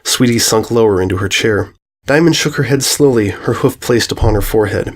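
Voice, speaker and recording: A man's voice: fairly low pitch, brisk. A man narrating a scripted story as its reader.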